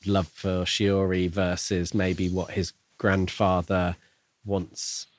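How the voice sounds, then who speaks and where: A man co-hosting a podcast, talking casually about a book in measured, hedging phrases. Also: low voice, completely flat delivery. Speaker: podcast co-host.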